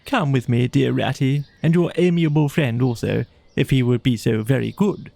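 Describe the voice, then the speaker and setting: A man voicing a boisterous, boastful, excitable gentleman toad in a children's audiobook, with pompous, exuberant exclamations. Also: mid-range voice, vocal fry, heavily acted.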